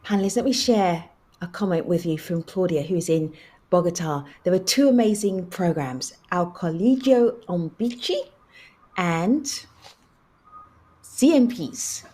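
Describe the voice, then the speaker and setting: A woman's voice: on the low side, animated, measured pace, warm tone. Woman moderating a panel. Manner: relays audience questions, calls on panelists.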